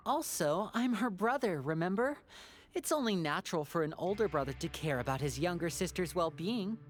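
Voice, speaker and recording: An actor playing a composed, watchful young man in a video game, speaking politely and deliberately, with music behind. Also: high-pitched, expressive. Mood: watchful, analytical. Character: composed, courteous, watchful young man, protective older brother.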